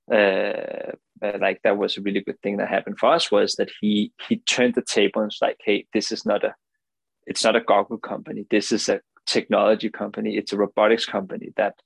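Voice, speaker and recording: A man's voice: fairly low pitch, gravelly, breathy edge, no room sound, low-quality recording. A young man from Denmark with a Danish accent, earnestly explaining his company in an interview and often tagging his points with "right?". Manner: earnest, many restarts and "right?" tags. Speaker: founder of a swim goggle company. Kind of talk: podcast interview.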